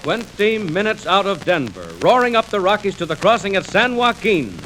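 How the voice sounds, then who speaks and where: High, theatrical male radio serial narrator. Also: big pitch swings, normal speed. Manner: urgent, theatrical. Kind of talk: 1940s radio dramatic narration. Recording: old radio record with hiss.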